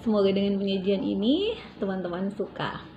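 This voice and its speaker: A woman's voice: medium pitch, expressive, a little fast, mellow, highs cut off, slight background noise. Woman explaining a recipe in her kitchen. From Papua, Indonesia. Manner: friendly, explanatory, with frequent hesitations. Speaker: cooking vlogger. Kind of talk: casual cooking demonstration. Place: home kitchen.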